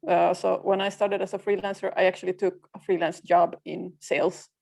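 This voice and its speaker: Woman from Finland, native Swedish speaker, lowish pitch, gravelly fry, practical podcast guest. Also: little pitch movement, darkish tone. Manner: plain, practical and matter-of-fact, with frequent "uh" pauses. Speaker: guest, freelancer community co-founder. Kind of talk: podcast interview.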